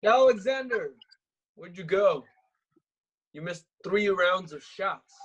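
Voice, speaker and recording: Guy playing loudmouth drunk party bro, high raspy voice, all over the place. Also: expressive, slow pace, lo-fi sound, heavily acted. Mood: bellowing, startled. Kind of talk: script read-through acting.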